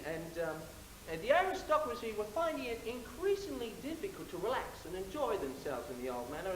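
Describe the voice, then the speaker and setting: Formal high-voiced male stage narrator. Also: slight background noise. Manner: formal, lecturing, with hesitant fillers. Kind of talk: theatrical stage prologue. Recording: roomy live stage recording.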